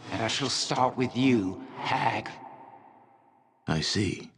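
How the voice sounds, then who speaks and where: Proud barbarian warlord, very low pitch, gravelly rasp, imperious, measured, contemptuous, fantasy game. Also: male voice. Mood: anguished, furious, then cold, dismissive. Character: proud, domineering barbarian chieftain possessed by a vengeful dragon spirit. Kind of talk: dramatic video game voice acting.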